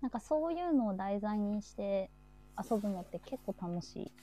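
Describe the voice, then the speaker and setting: A woman with a mellow, relaxed voice hosts an informal chat. She talks casually and chattily, often echoing agreement as she goes. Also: mid-range voice, moderate pace. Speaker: host of a live chat show.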